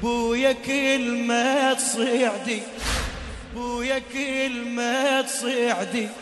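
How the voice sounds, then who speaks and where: A man with an Iraqi accent singing a mournful religious lament in a slow, drawn-out, wailing chant. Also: very high voice, fairly even intonation. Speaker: lament singer. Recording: echoey hall with music behind.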